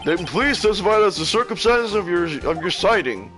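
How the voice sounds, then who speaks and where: A man's voice: high-pitched, big pitch swings, music in the background, voice pushed up. Man doing a ponderous, stern old judge. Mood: demanding. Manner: formal, deliberate, solemn. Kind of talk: video game character voice-over.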